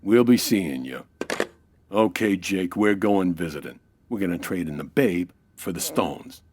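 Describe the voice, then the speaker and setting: Casting: tough crime boss, deep and husky, sardonic wisecracks, menace, radio-play comedy. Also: male voice, animated, unhurried, darkish tone. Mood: bargaining, threatening. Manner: clipped wisecracking tough-guy talk. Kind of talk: comedic old-time radio crime drama acting.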